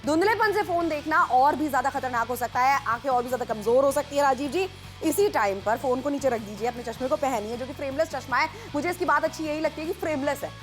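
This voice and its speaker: A woman's voice: high-pitched, fast. A woman co-hosting a teleshopping show, chatty and urgent, agreeing with her partner and urging viewers to call. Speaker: TV shopping co-host. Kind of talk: teleshopping sales pitch. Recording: studio with background music.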